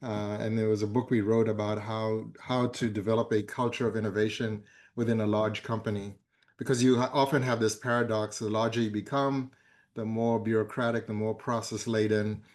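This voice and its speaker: A man in his fifties from Zambia who speaks as a guest in a conference fireside chat, measured and full of hesitant fillers. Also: on the low side, level tone, darkish tone. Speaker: board member, guest speaker.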